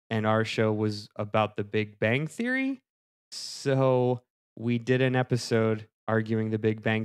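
A man's voice: on the low side, smooth, no echo, studio quality. A man hosting a podcast, introducing the show in a casual, conversational style. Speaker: podcast host.

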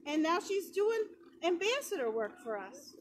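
Very high-pitched young woman, upbeat and encouraging camp leader, recorded outside on a phone with clear background noise. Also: big pitch swings, normal speed. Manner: upbeat, encouraging, talking to children. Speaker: animal shelter camp staff. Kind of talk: casual live-stream chat with kids. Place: outdoors on a grassy lawn. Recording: noisy outdoor phone recording.

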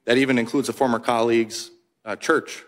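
A man in his thirties from Wisconsin testifying at a hearing desk microphone, giving terse, quiet, measured answers. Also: medium pitch, fairly even intonation. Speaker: whistleblower witness. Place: congressional hearing room.

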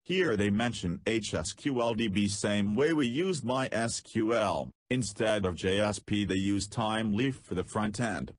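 Tutorial narrator: man, low husky voice, terse step-by-step delivery, dry close recording. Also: unhurried, hardly any pauses, no room sound. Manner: terse, step-by-step instructions with non-native phrasing. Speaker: programming tutor.

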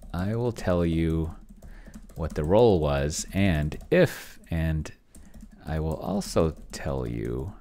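A middle-aged man with a very deep voice that often drops into fry, yet swings freely up and down. He talks casually through a solo roleplaying session at his computer, halting and muttering as he thinks aloud. Keys can be heard as he types. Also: a little mellow, slight background noise. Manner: halting, muttering to himself while working. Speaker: solo tabletop roleplayer testing an AI game master. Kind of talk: casual screen-share commentary, thinking aloud. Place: indoors at a desk in a home room.

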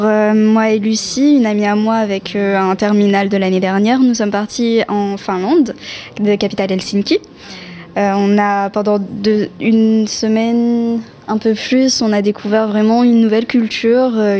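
Young woman, warm, flat, mellow voice. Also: mid-range voice, moderate pace. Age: young adult. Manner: hesitant, with many fillers. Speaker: high school student. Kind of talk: interview testimony. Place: school library.

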